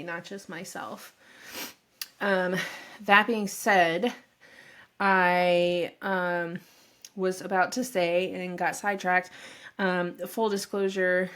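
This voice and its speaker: A shy, self-deprecating woman in middle age, speaking at a slow, unhurried pace while a head cold leaves her a little stuffy. She wanders through her thoughts with plenty of fillers and asides, recorded on her own microphone in a home office. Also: on the low side, fairly bright tone. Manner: shy and nervous. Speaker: vlogger introducing her channel. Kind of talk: casual talk to camera.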